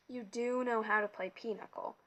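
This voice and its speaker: A woman's voice: a little high, fairly even intonation, moderate pace, a bit bright, close to an everyday voice. A woman reading an audiobook, voicing a pudgy, impatient and scornful male camp director who drawls his contempt.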